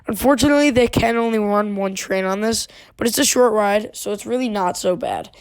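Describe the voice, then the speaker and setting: A woman's voice: medium pitch, fairly quick, smooth. A woman with a congested, sick voice, talking casually in a voice-over review with plenty of fillers and restarts. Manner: loose and chatty, many fillers and restarts. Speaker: theme park video creator.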